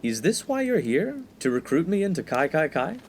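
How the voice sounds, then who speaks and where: A man's voice: fairly high pitch, big pitch swings, moderate pace, darkish tone, a little hiss or hum. A man playing a studious, proper young college freshman in a comic radio play, earnest and easily exasperated. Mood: wary. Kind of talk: 1950s-set comic radio play acting.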